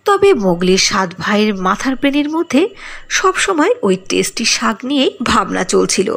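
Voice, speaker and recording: A woman narrating a cartoon fairy tale with expressive storybook delivery. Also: mid-range voice, normal speed, vocal fry.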